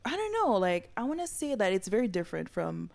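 A woman's voice: medium pitch, very animated, quick pace, gravelly, a little mellow. A young woman from Haiti, chatting and laughing as a guest on a podcast. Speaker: guest artist. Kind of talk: casual interview chat.

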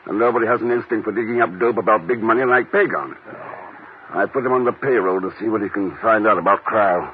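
Casting: man as a composed, wry agent, fairly low pitch, radio-play style, dull vintage sound. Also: fast, lightly acted. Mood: wry, cool. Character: cool, wry male secret agent. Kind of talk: 1950s radio drama acting. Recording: noisy lo-fi old radio recording.

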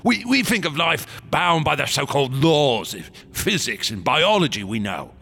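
An actor in a radio drama playing a fanatical, temperamental artist, rambling and stammering with feverish intensity in a gravelly, raspy voice. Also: male voice, fairly high pitch, sing-song, moderate pace, sharp tone, heavily acted. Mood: feverish zeal. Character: fanatical, temperamental male artist of monstrous museum exhibits.

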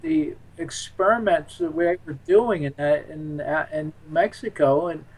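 A male interview guest with a notably high-pitched voice for a man. He talks casually and off the cuff, in a halting way, repeating words as he works toward what he means. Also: darkish tone, slightly rough, rough recording. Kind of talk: casual unscripted conversation.

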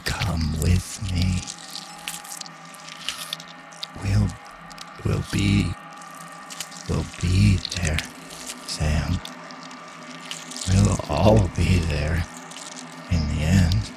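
A very deep, heavily creaking undead man, rotted and overgrown with vines. He beckons with eerie, entranced seduction, halting and repeating himself. It is horror audio drama, with music beneath. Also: fairly even intonation, heavily acted, poor audio. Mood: eerie beckoning. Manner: halting, repetitive, entranced luring.

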